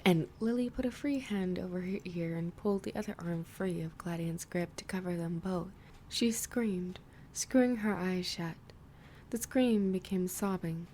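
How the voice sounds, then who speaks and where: Slow soft-spoken woman reading a story. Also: fairly low pitch, a little hiss or hum. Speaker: narrator. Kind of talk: reading fan fiction aloud.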